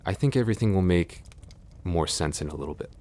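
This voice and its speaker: A young man playing a gentle, nervous best friend in an ASMR boyfriend roleplay, speaking softly and hesitantly in a tender, reassuring way. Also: lively intonation, fast talker. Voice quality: very deep, creaky.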